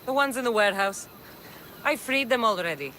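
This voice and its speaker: A woman's voice: fairly high pitch, expressive, brisk, bright, forceful tone, a little hiss or hum, low-quality recording. A woman playing a hardened exiled Spartan mercenary in a video game, with a blunt, firm delivery. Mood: wry, probing. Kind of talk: video game dramatic dialogue. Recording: music behind the voice.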